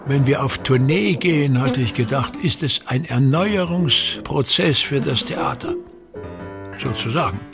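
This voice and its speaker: An actor playing a pompous, embittered, self-pitying touring actor, grumbling and declaiming in a theatrical radio play monologue. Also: male voice, mid-range voice, lively intonation, a little slow, vocal fry, over music. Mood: indignant, grandiloquent.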